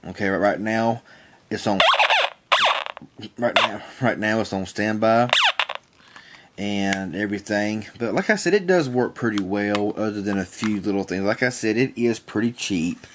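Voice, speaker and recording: A man's voice: lowish voice, measured pace, heavy background noise. A man giving a casual product demonstration in a plain-spoken, folksy, rambling and repetitive way. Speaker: reviewer demonstrating a small weather radio.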